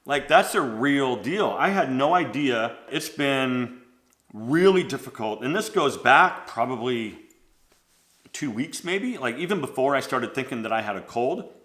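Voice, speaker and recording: A man in his forties, his nose stuffed up from a cold, talks casually straight to camera with a bright, forward tone. He rambles and restarts often, leaning on "like" as he goes. The room around him rings with noticeable echo. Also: medium pitch, lively intonation, measured pace. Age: 40s. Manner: rambling, self-interrupting, lots of "like". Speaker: fashion channel host. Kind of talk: casual vlog chat.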